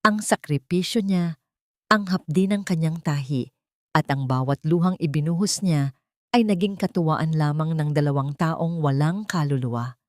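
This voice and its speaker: A woman's voice: low-pitched, moderate pace, darkish tone, no echo, studio quality. A woman narrating a scripted drama story in a solemn, melodramatic manner.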